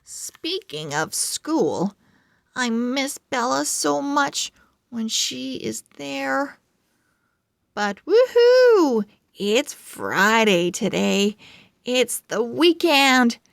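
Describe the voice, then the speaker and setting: A woman voicing a proud, excitable little male pug telling his diary in a children's storybook, with dramatic, earnest phrasing. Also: high-pitched, drawn-out words, vocal fry, no echo, studio quality, heavily acted. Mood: affectionate, excited. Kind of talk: children's storybook character narration.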